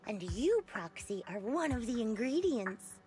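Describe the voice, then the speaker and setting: A soft-spoken young anime game heroine with a high, lilting voice. She takes her time with every phrase, teasing with a calm menace, over prominent background music. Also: unhurried. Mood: calmly menacing. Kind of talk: anime video game voice acting.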